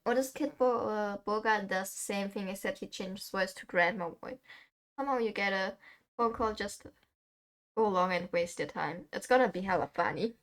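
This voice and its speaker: A young woman chats away while she plays a game. She rambles from story to story and laughs often, at an ordinary middle pitch. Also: normal speed. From Germany. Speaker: streamer. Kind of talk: casual chat while playing a game.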